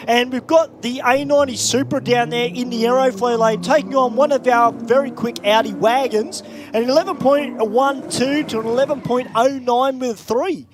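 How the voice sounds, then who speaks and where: A man's voice: very high-pitched, steady tone, moderate pace, background noise. A man with an Australian accent calling drag races in live commentary, chatty and upbeat in easy banter.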